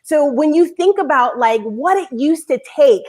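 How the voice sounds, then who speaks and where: A woman hosting a live online talk show, bubbly and rambling, talking in quick bursts. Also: high-pitched, lively intonation, a little mellow. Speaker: show host.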